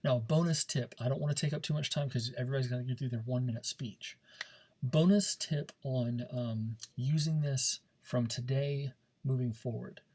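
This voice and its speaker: A man with a slightly rough, husky voice at an average pitch speaks as a teacher to his class. He is informal and demonstrative, leaning on key words and repeating them for emphasis. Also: moderate pace, darkish tone. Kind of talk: informal recorded lesson, talking directly to students.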